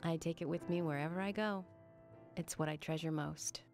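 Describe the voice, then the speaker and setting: Game cutscene role: gentle, earnest young woman, music clearly behind. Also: sits low, steady tone, moderate pace. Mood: fond, wistful. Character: gentle, wistful young woman remembering her late mother. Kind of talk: video game cutscene acting. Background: game soundtrack music.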